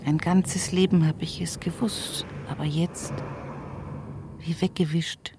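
A woman's voice: lowish voice, steady tone, slow, background noise. An actress playing a brooding, forgetful rural woman, murmuring repetitive phrases in a poetic radio play. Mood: incantatory. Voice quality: very husky, breathy.

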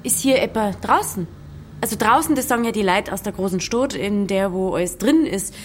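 A woman playing a stubborn, quick-witted young village innkeeper, speaking pointed Bavarian dialect in a drama. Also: mid-range voice, lively intonation, a little hiss or hum, lightly acted. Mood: pointed, lecturing. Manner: feisty, pointed dialect talk.

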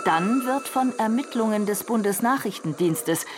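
An actress playing a brisk, probing radio news presenter who questions in a businesslike way within a radio drama. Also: female voice, fairly high pitch, expressive, background noise, natural voice. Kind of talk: radio news interview acting.

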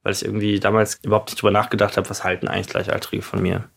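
Young guy, low creaky voice, casual podcast chat, kinda jokey. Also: monotone, very fast, a bit bright. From Freising, Bavaria, Germany. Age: 20s. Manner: jokey, self-reflective. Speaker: podcast subject and co-host.

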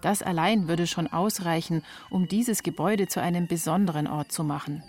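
A woman's voice: lowish voice, moderate pace, darkish tone, faint music behind. A woman reporting, reading scripted narration for a radio feature.

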